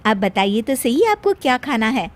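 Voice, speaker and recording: A woman's voice: fairly high pitch, big pitch swings, moderate pace, pitched above the performer's own voice. A woman narrator voicing a sweet, eager new daughter-in-law, polite and obliging, in a story. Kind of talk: dramatized story dialogue. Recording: music bed under the voice.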